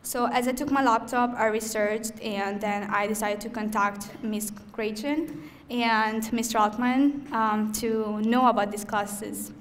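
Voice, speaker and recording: A young woman from Italy with an Italian accent, a student giving an earnest, slightly halting speech at a ceremony, with frequent pauses. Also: fairly high pitch, steady tone, slight background noise. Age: young adult. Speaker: student speaker. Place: event hall.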